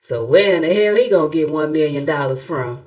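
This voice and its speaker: Sassy American woman with a lowish voice. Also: lively intonation, a little fast, darkish tone. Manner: colloquial, playful, mocking, dramatic retelling. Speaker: YouTube commentary host, narrator. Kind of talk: sassy, gossipy reaction commentary.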